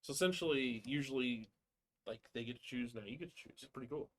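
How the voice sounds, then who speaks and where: A man's voice: medium pitch, fast, a bit bright. The guy running game night at the table, a man talking over faint noise and clicks as he takes his turn. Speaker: host and player in a board game livestream. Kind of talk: casual tabletop play chat.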